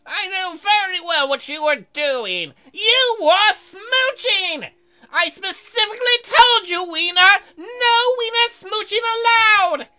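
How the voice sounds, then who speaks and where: A woman acts out a bombastic shark principal in a game. The voice is strained, very high and loud, with blustering, drawn-out words and stern authority. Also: expressive, full character voice. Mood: outraged. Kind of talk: visual novel character voice.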